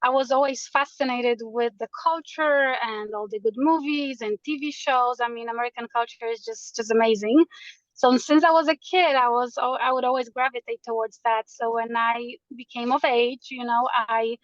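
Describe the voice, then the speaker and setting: A woman's voice: fairly high pitch, fairly even intonation, normal speed, no echo, lo-fi sound. A woman from Poland, a realtor earnestly explaining her background and the market as a guest in an interview. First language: Polish. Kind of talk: interview answers.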